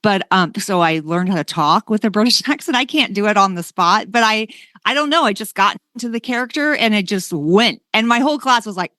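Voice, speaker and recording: A woman's voice: mid-range voice, animated, fairly quick, bright, forceful tone, no echo. Effusive woman chatting, dry close sound. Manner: effusive, repeats herself for emphasis. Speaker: podcast host, former teacher. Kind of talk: casual chat.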